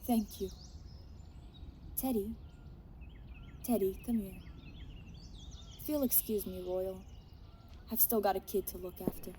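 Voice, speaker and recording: A woman's voice: medium pitch, slow, pauses often, mellow, a little hiss or hum, lightly acted. A woman acting a worried wife and mother in an audio drama, pleading with restraint. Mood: weary, polite.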